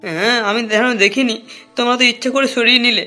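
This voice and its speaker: A man's voice: extremely high pitch, quick pace, sharp tone, soft background music, exaggerated voice. A man voicing a hapless, timid young male prisoner in a comic cartoon, pleading and whiny. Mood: pained whining.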